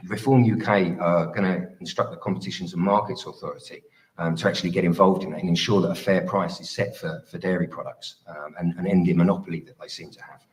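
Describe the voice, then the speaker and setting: Male candidate with a low, deep voice, delivering policy in a measured, hesitant way at a hustings. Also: steady tone, rough recording. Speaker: Reform UK parliamentary candidate. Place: live public hustings event.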